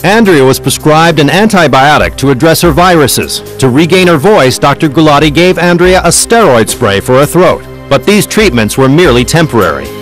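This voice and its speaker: A man narrates a documentary from a script in measured storytelling phrases. His voice sits fairly high and moves animatedly through its range, with soft music behind him. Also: animated.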